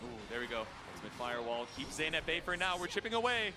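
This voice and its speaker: A man's voice: a little high, sing-song, quick pace. A man commentating a fighting game match live, play-by-play, on an esports broadcast. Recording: broadcast with prominent music behind. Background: music.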